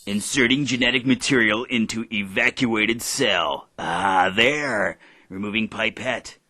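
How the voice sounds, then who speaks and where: An actor playing a pompous, precise genetic engineer in an audio drama, speaking formally. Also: male voice, lowish voice, measured pace, pushed and bright. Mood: focused, excited. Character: self-important male genetic engineer and entrepreneur, precise, pompous.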